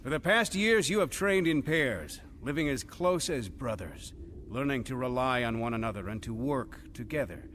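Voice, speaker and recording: Casting: actor as ceremonious male imperial commander, stern, declamatory; wide swings in pitch, noisy ambience. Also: lively intonation, moderate pace, a bit bright, close to an everyday voice. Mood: stern, solemn. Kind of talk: audio drama acting.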